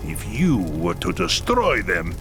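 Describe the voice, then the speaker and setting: An actor playing a bitter, vengeful middle-aged clan leader in a video game, heated and dramatic, with a gravelly voice. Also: male voice, low-pitched, huge pitch swings, takes it slowly, heavily acted. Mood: grim demanding. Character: bitter, vengeful human clan leader, grieving husband and father. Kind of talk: video game cutscene acting. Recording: loud music behind.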